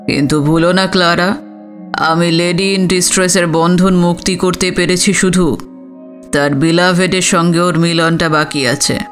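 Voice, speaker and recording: A man's voice: sits high, steady tone, slow pace, a bit bright. A man playing a wealthy, witty, imperious businessman in an audio story, teasing and theatrical. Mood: flirtatious, poetic. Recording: music under the voice.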